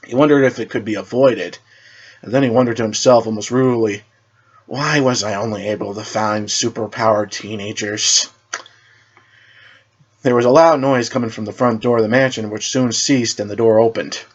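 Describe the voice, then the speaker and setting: A man's voice: medium pitch, steady tone, quick pace, muffled recording. A man reading a superhero story aloud as narrator, often stumbling over words and rereading them.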